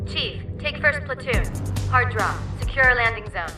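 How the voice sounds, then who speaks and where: An actress playing a female artificial intelligence in a video game, brisk, confident and wry, with an authoritative manner. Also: on the high side, lively intonation, over music, close to an everyday voice. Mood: commanding. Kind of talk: video game cutscene acting.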